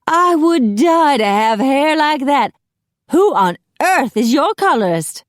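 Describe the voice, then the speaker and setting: A woman voicing a gushing, melodramatic young salon woman with breathy, theatrical exclamations, in an audiobook. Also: high-pitched, big pitch swings, normal speed, no room sound, studio quality, pitched above the performer's own voice.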